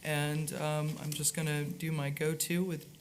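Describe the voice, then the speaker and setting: A woman chairing a county board meeting in a brisk, joking, informal way. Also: low voice, little pitch movement, slight background noise. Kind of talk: chairing a public meeting, casual banter. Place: county government meeting room.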